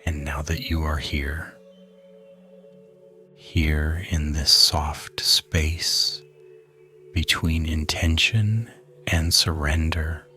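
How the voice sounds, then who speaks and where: A man leads a scripted guided sleep hypnosis in a soft, breathy, often near-whispered voice, soothing and hushed, with lingering pauses between phrases. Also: a bass voice, fairly even intonation, faint music behind.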